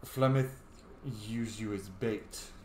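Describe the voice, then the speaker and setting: A man's voice: lowish voice, steady tone, takes it slowly, a little mellow. A man giving casual, reactive commentary while playing a role-playing game, slangy and sweary in a playful way. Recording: roomy echo, faint noise.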